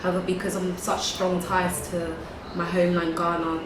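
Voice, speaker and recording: A woman's voice: on the low side, level tone, moderate pace. A woman from London with a London accent, answering interview questions conversationally, recorded with echo and noise. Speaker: interviewee, youth organisation founder and teacher. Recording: echoey, distant mic, noisy background.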